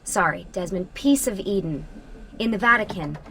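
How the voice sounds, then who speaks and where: A woman's voice: mid-range voice, animated, normal speed, slight background noise. A woman playing an earnest, focused modern researcher briefing her team in a video game. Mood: focused, explanatory. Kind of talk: expository video game dialogue. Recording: loud music under the voice.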